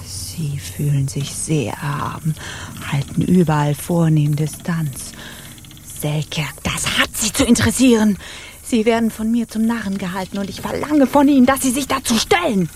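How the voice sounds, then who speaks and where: Woman as creaky, imperious pirate captain. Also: medium pitch, a little mellow, slight background noise, lightly acted. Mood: taunting, intimate. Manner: commanding, teasing, deliberate. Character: imperious, provocative female pirate ship captain. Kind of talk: theatrical radio drama acting.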